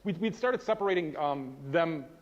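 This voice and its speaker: A man's voice: on the high side, animated, normal speed. A man on a conference panel talking conversationally, heard in a roomy hall on a dull recording. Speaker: game developer on a conference panel. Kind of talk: panel talk.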